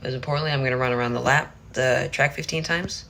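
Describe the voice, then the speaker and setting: A hoarse teenage boy chatting casually at the end of a livestream, rambling and restarting his phrases. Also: medium pitch, fairly even intonation, fast talker, pushed and bright, slight background noise. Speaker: streamer.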